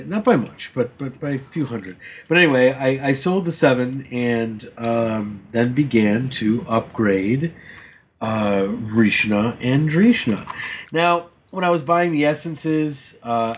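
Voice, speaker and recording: A man's voice: medium pitch, a little hiss or hum. A man co-hosting a casual gaming podcast, rambling through his week with many fillers and restarts and teasing the others. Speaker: podcast co-host.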